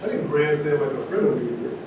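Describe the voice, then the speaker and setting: A man's voice: fairly quick, lightly acted. A man in a staged reading, playing a principled, reproachful actor and Navy veteran, earnest and firm. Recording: echoey room, distant mic, noisy rumble.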